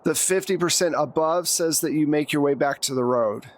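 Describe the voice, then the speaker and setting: A woman's voice: low-pitched, normal speed. Woman game master over background noise. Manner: conversational, addresses players directly. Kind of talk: narrating a tabletop role-playing game. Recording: noticeable background noise, room ambience.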